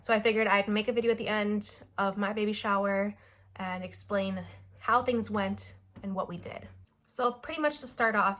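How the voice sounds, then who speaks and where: A young woman talks to her camera at home, her voice a touch nasal and stuffy as she gets over a cold. She rambles along casually, filling pauses with "um" and "like". Her pitch sits in an ordinary middle range. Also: a bit bright. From Wisconsin, USA. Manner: chatty, rambling with many fillers like "um" and "like". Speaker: vlogger. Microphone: camera mic.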